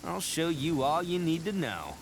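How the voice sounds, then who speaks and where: An actor voicing a boisterous horned oni man, a cocky braggart with loud laughs. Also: a little high, big pitch swings, normal speed, noisy background. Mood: boastful. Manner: boastful, loud laughs, brash. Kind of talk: game voice acting. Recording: game dialogue over music.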